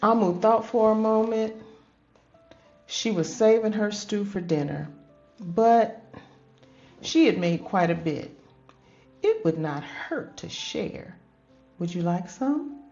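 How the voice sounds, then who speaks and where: A woman's voice: mid-range voice, animated, takes it slowly, long pauses, dark tone, lo-fi sound, soft background music. An older woman reading a picture book aloud expressively, in a grandmotherly manner, addressing young children and asking them questions.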